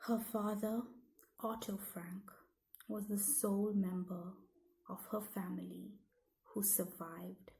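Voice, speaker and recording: A woman reading scripted educational narration aloud in measured, deliberate phrases, as for a school lesson. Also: mid-range voice, little pitch movement, vocal fry, dark tone, poor audio.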